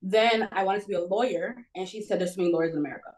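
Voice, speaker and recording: A woman's voice: medium pitch, rapid-fire, a little mellow. Twenty-something woman on a video call, lots of ums, kinda unsure, casual chat. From Florida. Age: 20s. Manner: hesitant, many fillers. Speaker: guest.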